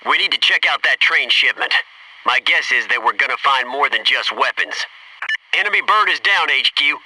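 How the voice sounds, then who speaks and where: A man's voice: on the high side, pushed and bright, recorded from a distance, slight background noise, low-quality recording. A man playing a disciplined, alert American Navy SEAL squad mate in a military video game, giving clipped, businesslike tactical reports.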